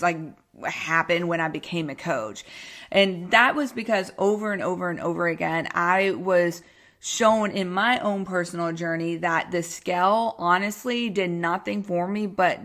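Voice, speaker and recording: A woman's voice: fairly low pitch, a bit bright. A woman with a Texan accent hosting a casual solo podcast, rambling in a bubbly, informal, self-deprecating way. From Texas, United States. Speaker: podcast host and health coach.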